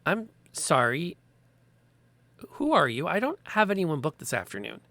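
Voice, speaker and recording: A man voicing a wary, weary woman who keeps a rented room, speaking slowly and reluctantly with sighs. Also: fairly high pitch, huge pitch swings, sharp tone, no echo, pitched above the performer's own voice, full character voice. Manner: slow, reluctant, sighing. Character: wary, weary adult woman landlady in silk robe.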